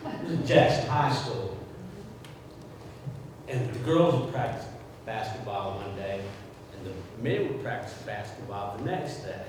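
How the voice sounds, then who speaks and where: A man's voice: lowish voice, lively intonation, unhurried, pauses often. An older man speaking at a memorial gathering, reminiscing informally and rambling, with frequent restarts. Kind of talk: informal spoken remembrance. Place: indoor gathering hall. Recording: echoey hall from far off, with clear background noise.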